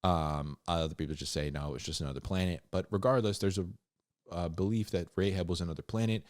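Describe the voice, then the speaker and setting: A man hosting a Bible reading, mixing scripture with informal, slangy commentary and animated asides. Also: low voice, fast talker, no echo, studio quality. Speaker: host of a Bible reading show.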